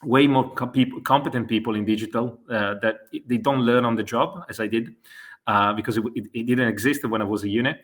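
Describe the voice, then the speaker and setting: A man's voice: medium pitch, fairly even intonation, fairly quick. Man, Italian accent, forties, hesitant speech, lots of fillers, podcast guest. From Italy. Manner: hesitant, many fillers and self-corrections. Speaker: guest, e-commerce consultant. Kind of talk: casual interview answers.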